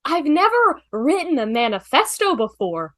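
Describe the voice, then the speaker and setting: A woman's voice: sits high, big pitch swings, moderate pace. A woman in an amateur table read over a video call, playing a cheerful, helpful female assistant.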